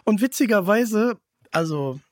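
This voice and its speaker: A man's voice: sits high, lively intonation, a little slow, no room sound, clean studio sound. A man hosting a podcast, chatting casually as he interviews a guest. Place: kitchen.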